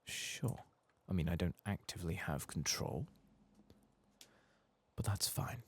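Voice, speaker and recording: Male ASMR gaming streamer, soft-spoken and husky, lively intonation, dryly sweary. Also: low voice, slow. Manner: dry, sweary asides, reads quest text aloud.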